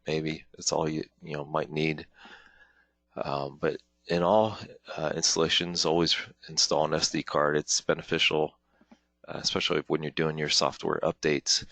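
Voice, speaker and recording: A man with an extremely low voice walks listeners through a software demonstration. He speaks informally and haltingly, pausing often as he explains each step. The sound is dry and close, with very little room. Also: no room sound. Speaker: webinar presenter, product trainer. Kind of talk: informal software tutorial, live demonstration walkthrough.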